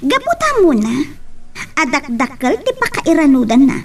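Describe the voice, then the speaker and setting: A woman's voice: sits high, big pitch swings, normal speed, far from the mic, slight background noise. A woman acting a young woman in love, hurt and proud, in an Ilocano romantic radio drama, slightly off the mic. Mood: hurt, probing.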